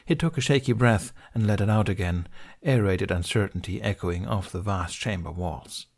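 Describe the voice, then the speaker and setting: A man reading fan fiction aloud as an audiobook narrator, in a steady, measured storytelling manner. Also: lowish voice, vocal fry.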